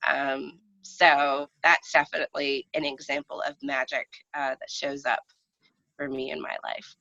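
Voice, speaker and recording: A woman shares a personal story in conversation. Her voice is deep for a woman and gravelly with fry, and she speaks haltingly and reflectively. The recording is somewhat muffled and lo-fi. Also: fairly even intonation, bright, forceful tone. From United States, lives in New England. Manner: halting, reflective, trailing phrases. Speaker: guest storyteller. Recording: dull, roomy lo-fi recording.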